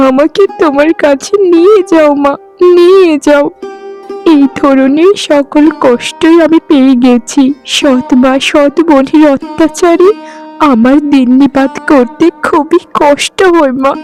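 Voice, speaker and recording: A woman voicing a meek, sorrowful young stepdaughter in a melodramatic cartoon, pleading and gentle. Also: high voice, poor audio.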